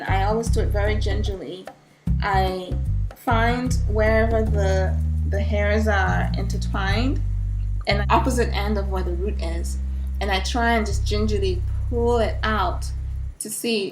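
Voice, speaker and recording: Musing woman vlogger in an echoey room. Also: mid-range voice. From Ghana. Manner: thoughtful, musing, many restarts. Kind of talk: casual talk to camera. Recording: echoey room with loud music behind.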